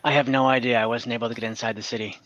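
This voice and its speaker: A man playing a weary, humble peasant traveler who speaks haltingly and apologetically in a tabletop game. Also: medium pitch, level tone, fairly bright tone, dry recording, muffled recording, close to an everyday voice. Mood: weary, hesitant.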